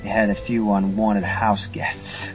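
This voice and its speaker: A man voicing a weary, wistful old grandfather who tells his past slowly and reflectively in an audiobook drama. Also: lowish voice, flat delivery, distant mic, lightly acted. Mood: reminiscing. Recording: muffled recording with music behind.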